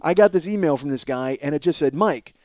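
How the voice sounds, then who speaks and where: A man in his forties with a slightly hoarse voice, hosting a podcast as a casual comedic monologue, rambling, profane and self-deprecating. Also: on the high side, expressive, brisk, darkish tone, dry recording, studio quality. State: slightly hoarse from strained singing. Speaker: podcast host.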